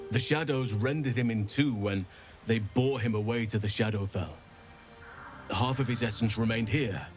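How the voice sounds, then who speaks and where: An actor playing an earnest, burly druid elf in a game, with grave, measured, formal delivery. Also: male voice, on the low side, natural voice. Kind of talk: dramatic game cutscene acting.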